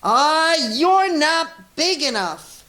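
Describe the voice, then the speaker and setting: A woman's voice: sits high, sing-song, very slow, pushed and bright, pitched above the performer's own voice, heavily acted. A woman voicing a grouchy, pugnacious little female ladybug in a children's storybook read-aloud, with a bossy, taunting manner. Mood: dismissive.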